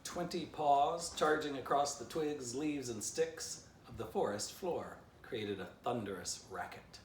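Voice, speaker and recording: A man's voice: mid-range voice, measured pace. A man reading a children's storybook aloud expressively as its author, with casual asides to the listener. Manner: animated, showing illustrations between passages. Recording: roomy echo, distant mic, faint noise.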